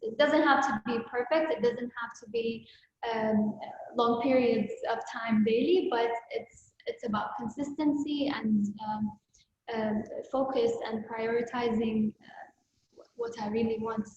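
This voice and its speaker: A woman's voice: fairly high pitch, fairly even intonation, measured pace. A young woman giving thoughtful, somewhat hesitant answers as a guest artist in a conversational online talk. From born in Ukraine, with a Kuwaiti side. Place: indoor room, on a virtual talk. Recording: boxy echoing room, muffled online call, faint noise.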